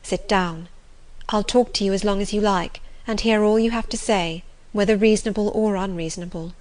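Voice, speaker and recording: A woman's voice: medium pitch, moderate pace, close to an everyday voice, rough recording. A woman voicing an earnest young governess, firm, respectful and self-controlled, in a classic novel audiobook. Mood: soothing.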